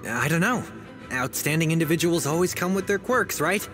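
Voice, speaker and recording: An actor playing an eager, naive young novice adventurer in a video game, earnest and wide-eyed. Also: male voice, a little high, expressive, fairly quick, lightly acted. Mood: confiding. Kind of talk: English video game voice acting. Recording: background music under dialogue.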